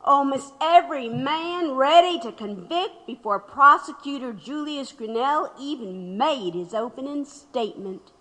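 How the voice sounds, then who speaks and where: An actress playing a sardonic storyteller of a rigged trial, delivering deliberate, pointed dramatic narration. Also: female voice, sits high, lively intonation, a bit bright. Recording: long hall reverb.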